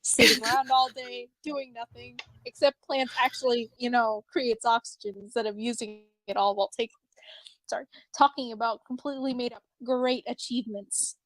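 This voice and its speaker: A woman's voice: on the high side, fairly even intonation, moderate pace, a bit bright, dry recording, rough recording. A woman playing a chatty, teasing young friend in an amateur fan fiction reading, with a wry, rambling delivery. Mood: teasing, deadpan.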